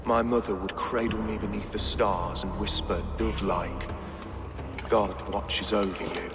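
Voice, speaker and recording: A low-voiced game villain, a bitter and zealous bishop, speaks with slow poetic menace. His words ring in a long echoing reverb with music behind. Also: fairly even intonation. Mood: bitter, brooding. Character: bitter zealous villain, male bishop who hates God. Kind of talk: dramatic game villain monologue.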